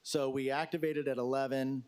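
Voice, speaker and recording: Emergency official, male, mid-pitch, halting delivery, fillers and restarts, formal "sir". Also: flat delivery, darkish tone, clean tone. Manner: hesitant, many fillers and restarts; addresses questioner as sir. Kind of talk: answering questions at a council briefing.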